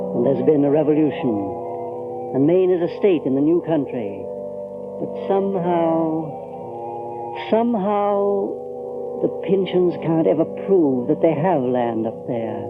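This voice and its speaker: A man's voice: a little high, a little slow, narrow, tinny audio, music in the background. A man narrating a scripted drama in a theatrical storytelling style, full of rhetorical questions and repetitions.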